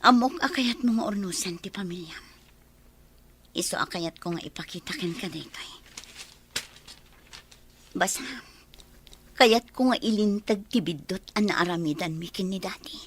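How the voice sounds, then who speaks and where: A woman with an Ilocano accent playing a hushed, repentant figure making amends in a radio drama. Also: mid-range voice, expressive, moderate pace, pauses often, close to an everyday voice. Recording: faint noisy ambience behind.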